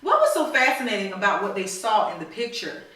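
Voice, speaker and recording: Very echoey, bright-voiced lady doing storytime for kids, encouraging like a teacher. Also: mid-range voice, very animated, a little fast. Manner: encouraging, teacherly, repeats children's answers. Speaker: storytime host reading a picture book to children. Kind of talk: read-aloud storytelling with questions to children. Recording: very echoey room, far from mic.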